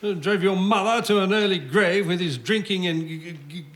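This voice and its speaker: A man's voice: sits high, normal speed, fairly bright tone. A man playing a nervous, henpecked husband in a stage radio comedy, stammering and flustered, placating with bitterness underneath. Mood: bitter, nervous. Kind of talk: stage radio-play acting in domestic comedy. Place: theatre stage before an audience.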